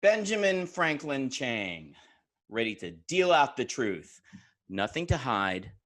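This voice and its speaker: A man's voice: mid-range voice, big pitch swings, takes it slowly, a bit bright. An actor playing a theatrical, cocky, grandiose and unhinged man in a sitcom table read. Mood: cocky, dramatic. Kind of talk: scripted sitcom read, comic.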